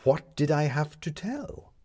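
A man's voice: a little high, very animated, measured pace, a little mellow, husky, voice pushed up, heavily acted. A man voicing a sceptical woman reporter in a storybook, with pointed, dry, accusing retorts. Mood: dry, sharp.

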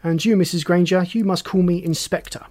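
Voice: medium pitch, fairly even intonation, a little fast, darkish tone, close to an everyday voice. A narrator voicing a commanding, wry male police inspector in an audiobook, folksy and teasing with pointed questions. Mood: probing, sardonic.

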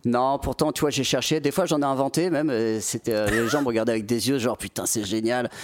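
A man in his forties from the Paris region, chatting casually as an interview guest, full of restarts and fillers and quick to laugh. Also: medium pitch, steady tone. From Paris region, France. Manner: hesitant, many restarts and fillers, laughs often. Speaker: metal singer, guest.

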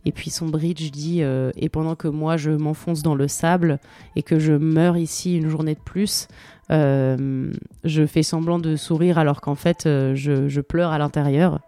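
A woman's voice: low-pitched, fairly even intonation, unhurried, warm tone, no echo. A woman on a podcast, enthusiastically talking through song lyrics with many hesitations. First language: French. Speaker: podcast co-host. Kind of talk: casual chat about song lyrics.

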